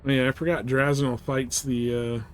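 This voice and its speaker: A man's voice: mid-range voice, little pitch movement, fairly quick, clean tone, rough recording. A man livestreaming a video game with casual commentary, rambling a little as he thinks aloud. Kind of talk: casual live gameplay commentary.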